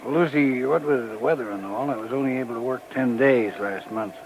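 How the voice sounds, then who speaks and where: An actor playing a hard-working, plain-spoken, caring husband in a 1940s radio drama. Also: male voice, medium pitch, fairly quick, natural voice. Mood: earnest, troubled. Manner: plain, folksy. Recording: noisy 1940s radio recording.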